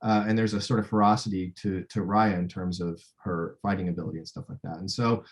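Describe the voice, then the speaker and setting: A man speaking as a film director in a casual interview, thinking aloud in a halting way. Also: low voice, fairly even intonation.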